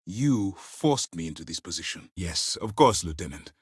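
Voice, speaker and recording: A grave, principled commander of a space navy in a video game. His low voice carries formal, measured authority and moves through a very wide range of intonation. The recording is completely dry, with each order delivered in crisp military diction. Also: sing-song, no echo, full character voice. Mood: bitter, disappointed, then businesslike, commanding. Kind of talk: scripted video game character lines.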